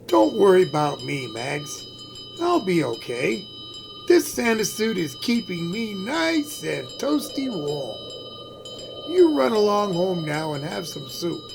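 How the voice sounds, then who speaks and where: Give the part to a jolly, good-natured street Santa, acted by a man in an old-time radio comedy. He is folksy and takes his time, with a very lively, swinging pitch. Music plays behind him. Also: slow, creaky, exaggerated voice. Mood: warm, reassuring. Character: good-natured husband playing a bell-ringing street Santa.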